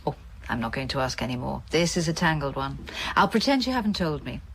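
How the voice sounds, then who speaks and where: A lowish-voiced, chatty female carer. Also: big pitch swings, a little fast, a little hiss or hum. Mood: nosy, knowing. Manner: homely, chatty, probing. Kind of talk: radio drama acting.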